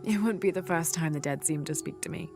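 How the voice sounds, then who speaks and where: A woman playing a determined, kind young adventurer in a video game, speaking calmly. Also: deep voice, fairly quick, vocal fry, over music. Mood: gentle, kind. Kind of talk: video game dialogue acting.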